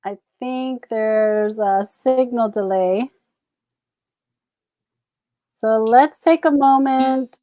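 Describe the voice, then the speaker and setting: Upbeat host, woman with a faint New York accent, effusive and higher-pitched, dry studio sound. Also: drawn-out words, pauses often, warm tone, no room sound. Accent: toned-down New York. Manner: effusive, laughs often. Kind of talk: live entertainment interview.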